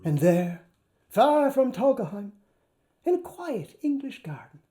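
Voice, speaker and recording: An Irish actor reads literary prose aloud in a mellow, unhurried voice. His delivery is theatrical and playful, stretching words out as he declaims to a live audience. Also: extremely high pitch, sing-song.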